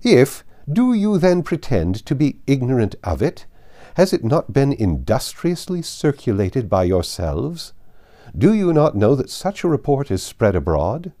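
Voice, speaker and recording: A man voicing a haughty, domineering older aristocratic lady in an audiobook, with emphatic and deliberate delivery. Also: mid-range voice, very animated, warm tone, pitched above the performer's own voice. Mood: angry, demanding. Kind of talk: audiobook character voice.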